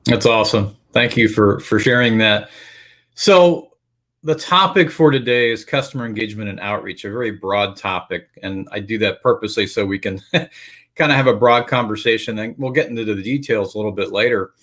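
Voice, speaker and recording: A man moderating an online panel discussion in a relaxed, chatty way, over a video call. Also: lowish voice, normal speed.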